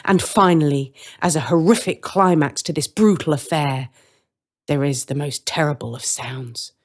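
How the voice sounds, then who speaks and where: A woman reading a scripted story as a dramatic narrator. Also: low voice.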